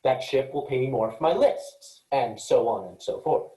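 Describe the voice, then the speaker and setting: A man's voice: mid-range voice, expressive, normal speed, warm tone, natural voice. A man playing an earnest, cautious, literal-minded space freighter worker, speaking precisely and matter-of-factly in a staged reading. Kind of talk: virtual staged reading of a sci-fi play. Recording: echoey room.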